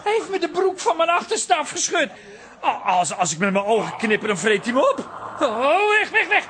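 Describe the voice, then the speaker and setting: An actor playing a whining, indignant, easily frightened grown son in a comic Dutch radio play. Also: male voice, very high voice, moderate pace, a little hiss or hum, exaggerated voice. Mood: panicked. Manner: whiny, indignant, excitable. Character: cowardly, whining grown son afraid of dogs.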